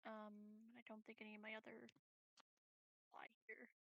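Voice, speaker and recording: Creaky, even-toned woman playing a game. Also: a little high, normal speed, muffled recording. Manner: deliberating aloud. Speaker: tabletop game player. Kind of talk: casual game chat.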